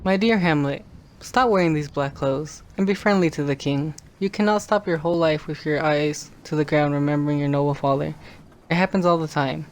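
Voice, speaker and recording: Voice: mid-range voice, a little fast, lightly acted. A performer playing an earnest member of a royal court, politely entreating in modernised stage drama dialogue. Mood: gently urging.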